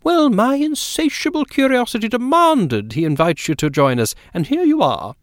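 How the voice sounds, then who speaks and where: Man playing an animated, genteel, inquisitive lady. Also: very high voice, normal speed, dry recording, studio quality, voice pushed up. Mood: bubbly, insistent. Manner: effusive, polite, emphatic. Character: genteel chatty inquisitive lady, warmly insistent. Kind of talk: audiobook character dialogue.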